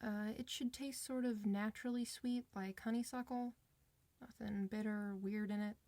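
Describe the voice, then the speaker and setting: A woman's voice: mid-range voice, fairly even intonation, mellow, no room sound, lightly acted. A woman playing a friendly, reassuring doctor for werewolves in an informal, one-sided ASMR roleplay. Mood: calm, reassuring. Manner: reassuring, informal, hesitant pauses.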